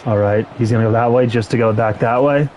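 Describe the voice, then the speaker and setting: A young man streaming a football video game, commenting excitedly and talking to the players on screen. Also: medium pitch, fast talker. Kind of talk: live gameplay commentary.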